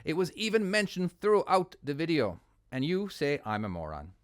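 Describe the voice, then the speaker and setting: A man's voice: fairly high pitch, wildly swooping pitch, normal speed, no room sound, clean studio sound. A man with an Irish accent hosting his own channel, chatting casually and laughing often while narrating a truck-driving game. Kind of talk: casual gameplay commentary.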